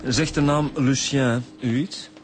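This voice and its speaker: Methodical male detective, played by an actor, medium pitch, factual questioning, radio drama with music behind. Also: fairly even intonation, slow, lightly acted. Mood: probing. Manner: matter-of-fact. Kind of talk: naturalistic radio drama acting.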